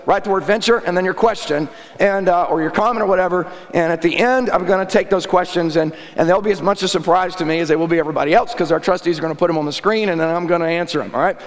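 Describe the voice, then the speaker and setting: A man preaching a sermon in a conversational style, often clearing his throat and checking in with "all right?", his voice worn and close to giving out. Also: high-pitched. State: losing his voice. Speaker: pastor. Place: church sanctuary. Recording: echoey church hall.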